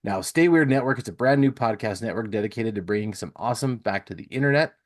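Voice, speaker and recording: Low-pitched man hosting a podcast. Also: lively intonation, fast talker. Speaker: podcast host. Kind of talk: casual podcast chat and plugs.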